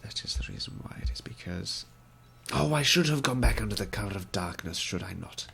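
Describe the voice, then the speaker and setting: A man's voice: fairly low pitch, animated, fairly quick, gravelly, slightly rough. Young man, wry mock-posh game commentary. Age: young adult. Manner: mock-posh, wry, gentlemanly put-on accent. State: congested from a cold. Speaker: gaming video creator. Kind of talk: let's play commentary. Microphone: headset.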